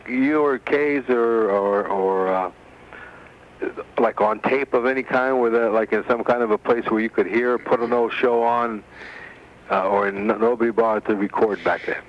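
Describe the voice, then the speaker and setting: A middle-aged man calling in to a radio show, chatting casually in a halting, rambling way. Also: medium pitch. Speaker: radio phone-in caller. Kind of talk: casual chat. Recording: dull, noisy phone call on radio.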